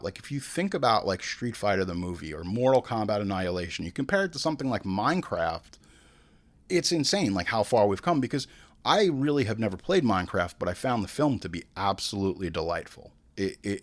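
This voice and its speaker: A man hosting a show with casual, opinionated film commentary, swearing freely and acting out imagined bits of dialogue. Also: lowish voice. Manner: informal, frequent swearing. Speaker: show host.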